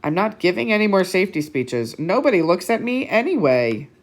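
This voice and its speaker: A woman's voice: fairly low pitch, sing-song, brisk. A woman voicing a glum, discouraged policeman in a children's storybook. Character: glum, frowning policeman, adult man.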